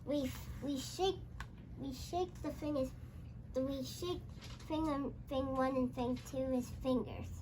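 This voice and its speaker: High-pitched child reading a story, halting. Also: steady tone, darkish tone, slight background noise, low-quality recording. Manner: halting, repeats phrases, exclaims dialogue. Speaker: young host reading a storybook aloud.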